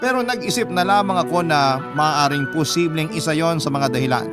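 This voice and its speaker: An actor playing an earnest husband with a Filipino accent, telling his sorrowful story in a measured, confiding way for a radio drama. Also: a little high, loud music behind the voice, natural voice. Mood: sad, reflective. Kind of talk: radio drama letter narration.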